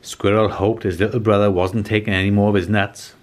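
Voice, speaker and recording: A man in his forties reading a children's storybook aloud as scripted narration, in a measured, expressive way. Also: fairly low pitch. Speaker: children's storybook reader and host.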